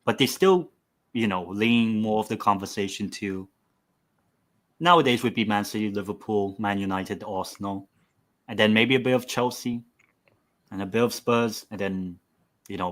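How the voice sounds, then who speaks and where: A man's voice: on the low side, normal speed, fairly bright tone. A man chatting casually about football on an online call, chiming in with points. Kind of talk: casual chat.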